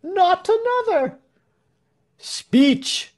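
An excitable villager from a cheering crowd, pitched far up into a shriek. The cries are short, loud and eager, in storybook style. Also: male voice, extremely slow, exaggerated voice. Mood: clamouring, excited.

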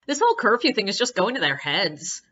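A woman's voice: mid-range voice, big pitch swings, fairly quick, fairly bright tone, slight background noise. A woman playing a snarky schoolgirl in an audio play, on a slightly lo-fi recording.

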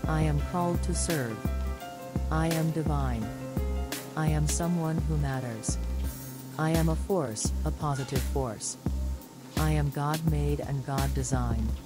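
A woman with a very low voice recites affirmations slowly and calmly, one short line after another. Loud music plays under her the whole time. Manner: calm, measured, repetitive.

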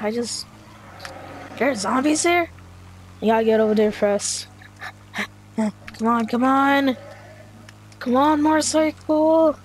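A gamer improvising a video game roleplay, excitable, exclaiming and muttering along while playing. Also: high voice. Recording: noisy home gameplay recording.

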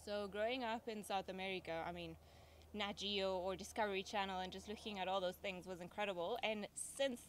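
Brief: young Venezuelan woman, lively storyteller, faint outdoor hum. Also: mid-range voice, fairly quick. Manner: chatty, laughs often, acts out her own past lines. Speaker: safari guide guest. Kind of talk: casual interview chat. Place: outdoors at a campfire circle in the bush.

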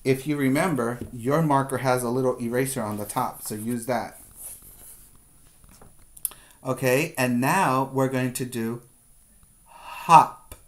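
Slow-paced middle-aged man teaching young children. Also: medium pitch. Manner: deliberate, repetitive step-by-step instruction. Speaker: preschool teacher. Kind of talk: instructional video lesson for young children. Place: small room indoors. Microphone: webcam at desk distance.

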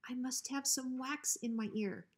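A woman plays a timid little storybook dog, a sidekick who wriggles out of everything. His voice is high, small and often breathy. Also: a little slow. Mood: evasive. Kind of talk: children's storybook reading.

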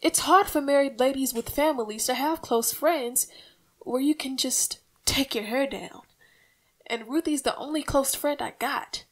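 A woman's voice: high voice, measured pace, rough recording. A woman playing an earnest, peacemaking housewife and mother in an old-time radio comedy, folksy, plaintive and coaxing. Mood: wistful, confiding.

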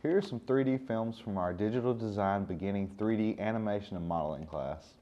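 A man's voice: on the low side, brisk, muffled recording, noisy background. A man co-hosting a program, presenting scripted introductions. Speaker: co-host.

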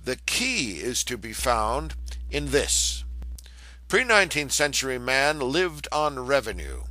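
A man reading an old scholarly lecture aloud in a measured, formal manner, with the occasional stumble over a word. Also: medium pitch, bright, forceful tone, rough recording. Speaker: reader of a historical lecture text.

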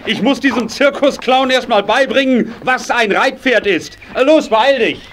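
An actor playing a firm, patient riding instructor in a 1980s children's audio drama, giving clear commands. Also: male voice, very high voice, measured pace, a bit bright, a little hiss or hum. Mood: commanding.

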